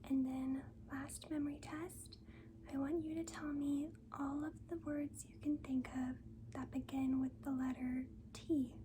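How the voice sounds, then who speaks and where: A woman doing a soft-spoken ASMR nurse roleplay, mostly in a breathy whisper, with a gentle, reassuring manner. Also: high voice, flat delivery, background noise. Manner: gentle, reassuring, slow and deliberate. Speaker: ASMR performer playing a school nurse.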